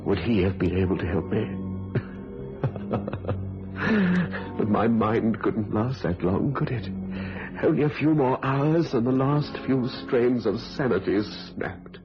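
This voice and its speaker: An actor playing a frightened, anguished husband who fears for his sanity in a radio thriller, with a halting, overwrought delivery. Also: mid-range voice, lively intonation, over music. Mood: despairing, dazed. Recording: dull lo-fi radio recording.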